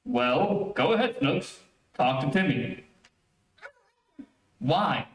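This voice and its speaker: A man's voice: fairly high pitch, animated, drawn-out words. A man playing an eager, easily exasperated matchmaking father in an old-time radio comedy. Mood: cajoling. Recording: echoey room, distant mic.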